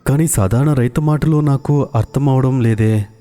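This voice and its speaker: A man's voice: medium pitch, moderate pace, warm tone, close to an everyday voice. An actor playing an earnest man in a children's cartoon fairy tale. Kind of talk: children's cartoon story dialogue. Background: background music.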